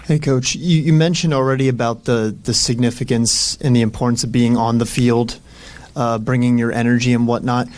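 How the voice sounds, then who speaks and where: Even-toned man asking as a reporter. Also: medium pitch, moderate pace. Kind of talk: press conference question.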